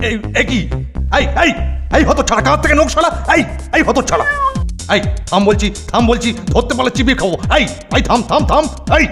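A man's voice: very high voice, animated, a little fast. A man voicing a strict, blustering boarding-school master in a comic cartoon, with an exaggerated voice. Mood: furious, panicked. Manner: stern, blustering. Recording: long hall reverb, faint music.

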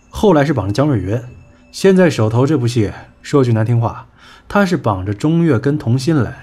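An actor in a Chinese audio drama playing a sharp-tongued entertainment boss, blunt, scolding and colloquial. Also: male voice, medium pitch, slightly rough.

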